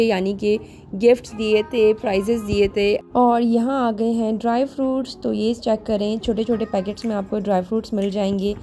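A woman, giving an upbeat, chatty walkthrough of store deals as a vlogger. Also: dark tone. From Pakistan. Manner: chatty, upbeat sales pitch. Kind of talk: grocery store walkthrough narration.

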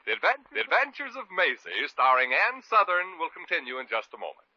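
Old time radio announcer guy, fairly high, loud brass music, muffled recording. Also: sing-song, fairly quick.

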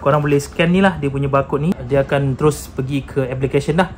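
A man's voice: medium pitch, steady tone, quick pace, darkish tone. Malaysian man demoing gadgets, noisy recording. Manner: informal, code-mixing with English tech terms. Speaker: motorcycle gear reviewer. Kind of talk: casual hands-on product demonstration. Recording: clearly noisy room recording.

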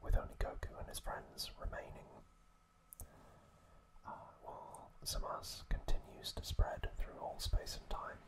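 Slow, whispering ASMR man. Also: rough recording. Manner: unhurried, hushed, hesitant delivery. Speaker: ASMR host. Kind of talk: whispered ASMR, recapping an anime story.

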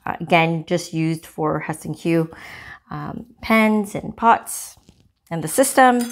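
A woman hosting a cooking channel, casually demonstrating a gadget in her home kitchen, chatty and relaxed with small fillers. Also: lowish voice. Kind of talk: casual product demo.

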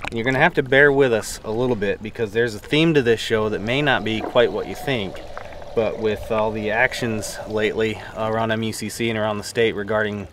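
A man's voice: lowish voice, steady tone, a little fast, background noise. A man in his thirties hosting an outdoor show, casually explaining things while fishing from a boat on a lake. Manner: easygoing, explanatory, with fillers.

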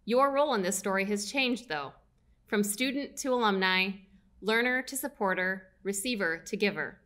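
A young woman, an alumni relations director, reading a formal address at a podium on stage in a measured way. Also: mid-range voice, pushed and bright. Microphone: podium mic.

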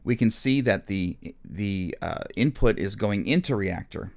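A man instructing in music software in a casual, unscripted and slightly hesitant explanatory way, as a screen tutorial. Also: on the low side, animated, measured pace, gravelly.

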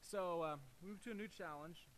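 A man's voice: high-pitched, brisk, fairly bright tone, slight background noise, low-quality recording. A middle-aged man presenting a technical talk at a lectern, talking casually as he walks through a live demo, often restarting his sentences. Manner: casual, thinking aloud with frequent restarts. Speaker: conference presenter. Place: lecture hall, at a lectern.

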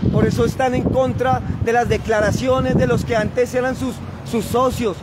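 A man, giving an impassioned, declamatory political speech to his phone camera outdoors. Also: very high voice. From Colombia. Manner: declamatory, emphatic, rhetorical lists. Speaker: activist. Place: outdoors on a wide open plaza with traffic. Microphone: phone camera at arm's length. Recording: loud wind noise on phone mic.

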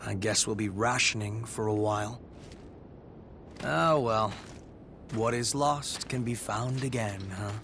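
A man voicing a steady, burly revenant comrade in a video game, plain-spoken and relaxed. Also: fairly low pitch, a little slow, long pauses, noisy background. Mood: wry, easygoing. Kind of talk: video game cutscene acting. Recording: loud music behind the voice.

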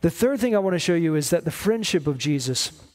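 A man in his forties preaching a sermon, explaining in measured short phrases with pauses. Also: fairly high pitch, lively intonation, a little mellow. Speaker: pastor preaching. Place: church stage at a lectern, indoors.

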